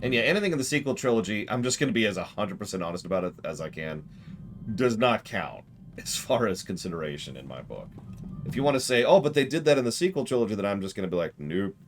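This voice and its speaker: A man with a very low, animated voice talks through a game on a live stream. He explains things discursively, working out his thoughts as he speaks, with music playing underneath. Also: fast talker. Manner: discursive, explanatory, thinking aloud. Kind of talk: casual live stream commentary.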